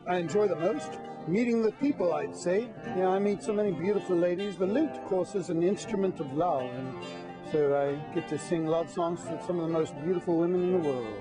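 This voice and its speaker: A man's voice: high-pitched, brisk, low-quality recording. A man, a festival minstrel, chatting informally and explaining things to an interviewer. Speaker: lute-playing minstrel at a Renaissance festival. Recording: loud plucked string music behind. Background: plucked string instrument playing.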